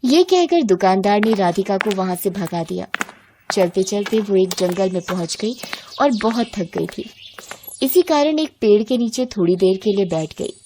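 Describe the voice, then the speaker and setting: A woman's voice: medium pitch, lively intonation, moderate pace, mellow, a little hiss or hum. A woman storyteller reading scripted narration for a moral bedtime tale.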